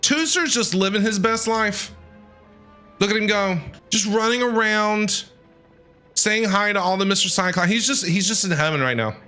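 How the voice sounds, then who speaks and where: A man's voice: high voice, normal speed, sharp tone. A man doing live reaction commentary on a game, talking back to the characters in a playfully dramatic way. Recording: game music behind.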